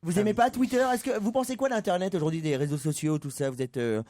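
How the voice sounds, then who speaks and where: A man's voice: sits high, fast talker, darkish tone, dry recording. A man chatting casually and slangily as a comic panelist on a radio show.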